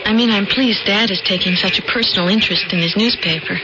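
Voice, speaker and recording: An actress playing an ambitious, excitable, sharp-tongued young small-town woman in an old-time radio drama. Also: medium pitch, fast talker, lightly acted. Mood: airy, pensive. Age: young adult. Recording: muffled, very noisy vintage radio broadcast.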